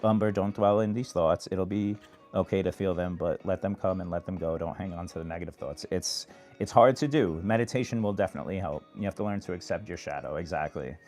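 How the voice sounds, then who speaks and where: A man from New York hosting a late-night phone livestream, giving earnest advice to viewers in a monotone way. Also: deep voice, fairly quick, faint music behind. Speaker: livestream host. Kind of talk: casual live chat answering viewers.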